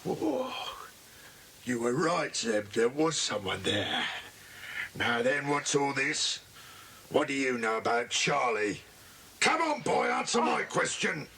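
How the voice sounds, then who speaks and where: An actor playing a bossy, menacing crook who leads a gang and barks questions in a children's audiobook drama. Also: male voice, medium pitch, very animated, takes it slowly, vocal fry, pushed and bright, heavily acted. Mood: menacing, demanding. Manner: barking, bullying questions. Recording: noisy old cassette transfer with clicks.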